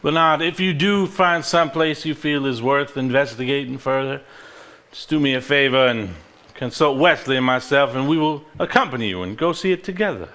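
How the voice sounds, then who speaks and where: A man's voice: medium pitch, moderate pace, a bit bright, close to an everyday voice. An actor on stage playing an earnest, level-headed married man who speaks seriously. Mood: firm, reasoning. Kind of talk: live stage play acting, reading aloud from a journal.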